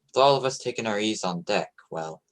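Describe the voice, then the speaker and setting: An actor playing an earnest, confident young sea captain in an old-time radio play. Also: male voice, fairly low pitch, expressive, a little fast, close to an everyday voice. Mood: solemn narration. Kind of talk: old-time radio play acting, narrating his log.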